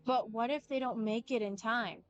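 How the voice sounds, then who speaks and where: A woman's voice: on the high side, normal speed, clear, resonant tone. A woman livestreaming a game, chatting casually to viewers and reading dialogue aloud. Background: game music.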